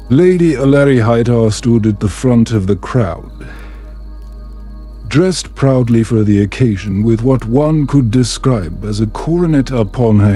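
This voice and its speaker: A man narrating a bedtime story in a calm, soothing, measured manner. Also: medium pitch.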